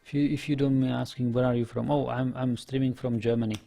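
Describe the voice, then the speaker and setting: A young man with a Czech accent, a native Czech speaker, chatting as he streams a game, explaining in halting bits with many restarts. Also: mid-range voice, flat delivery, creaky, dark tone. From Czech Republic. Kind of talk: live stream gameplay chat. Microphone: headset.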